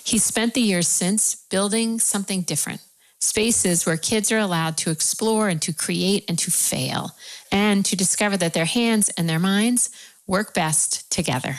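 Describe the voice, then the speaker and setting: A woman's voice: medium pitch, moderate pace, poor audio. A woman who hosts an interview show, asking questions with a playful, self-deprecating touch. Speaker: podcast host. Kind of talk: interview hosting.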